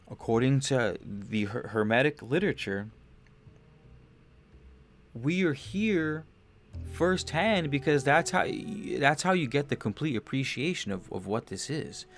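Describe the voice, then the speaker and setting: A young man hosting a livestream, rambling casually about spiritual ideas, restarting phrases, swearing loosely and getting animated on big points. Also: medium pitch, vocal fry. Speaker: podcast host.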